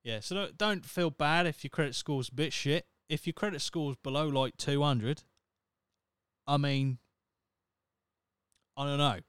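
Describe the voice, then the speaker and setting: A man's voice: on the high side, measured pace, pauses often, fairly bright tone, smooth, dry recording. A thirty-year-old man, rambling on his solo podcast in a wry, self-mocking way with plenty of swearing. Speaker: podcast host. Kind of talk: casual solo podcast monologue.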